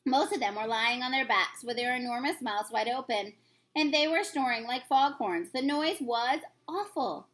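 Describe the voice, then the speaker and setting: A woman's voice: a little high, moderate pace, a bit bright. A woman reading a children's storybook aloud as a teacher would, with occasional stumbles.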